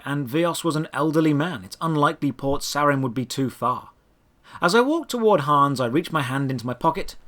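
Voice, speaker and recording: Man narrating fantasy adventure with very animated pitch. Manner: storytelling, deliberate dramatic phrasing. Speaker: narrator of a fantasy audio drama. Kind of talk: first-person scripted adventure narration.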